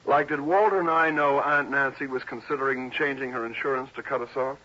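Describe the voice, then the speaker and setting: A man's voice: mid-range voice, fairly even intonation, a little fast, pushed and bright. An actor playing a genial, glib American man in his twenties, hosting in mid-century radio drama style. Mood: smooth, frank. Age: 20s. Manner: chatty, hospitable, glib. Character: glib, genial young nephew hosting a party, smooth talker. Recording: dull, hissy old radio recording.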